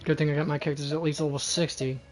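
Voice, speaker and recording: A woman's voice: sits low, level tone, very fast, noisy background. A woman giving casual live game commentary as a streamer.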